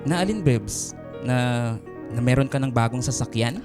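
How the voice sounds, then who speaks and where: A man playing an earnest, gentle young boyfriend in a Filipino radio drama. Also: medium pitch, expressive, normal speed, close to an everyday voice. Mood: tender, reassuring. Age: young adult. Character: devoted, gentle young boyfriend and dutiful nephew. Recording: radio drama with music behind.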